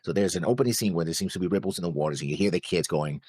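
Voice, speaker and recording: A man's voice: low voice, racing, darkish tone, slightly rough, dry recording, clean studio sound. A man co-hosting a reaction show, casually discussing and questioning, pushing back on points. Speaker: co-host of an anime reaction show.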